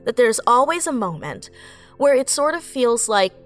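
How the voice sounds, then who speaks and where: A woman's voice: a little high, expressive, moderate pace, soft background music. A woman in her late twenties speaking earnestly and effusively as a writer in a reflective interview. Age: late 20s. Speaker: audio drama writer and voice actor.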